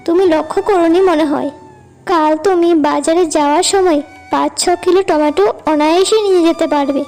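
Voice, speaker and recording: Young village wife for a cartoon, female performer: tiny high voice, attentive, resourceful, caring. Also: steady tone, brisk, darkish tone, faint music behind. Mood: eager, practical. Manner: practical, attentive.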